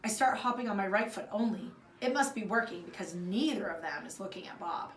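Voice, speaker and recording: A woman's voice: mid-range voice, fairly quick. Roomy, distant-sounding woman reading a storybook. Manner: expressive, acts out the dialogue. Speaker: fourth grade teacher reading aloud. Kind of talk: children's storybook read-aloud narration. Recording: roomy echo, distant mic, faint noise.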